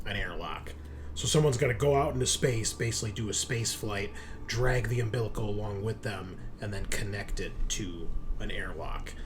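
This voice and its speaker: A man casually explaining a tabletop game situation as game master, in an informal way. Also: fairly low pitch. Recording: home session with loud background noise.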